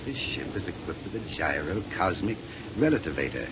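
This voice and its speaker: An actor playing a ruthless, impatient gang leader who snaps orders, in a 1930s radio serial style. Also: male voice, deep voice, very animated, moderate pace. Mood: brisk, commanding. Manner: curt, bossy, snapping orders. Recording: muffled, noisy 1930s radio recording.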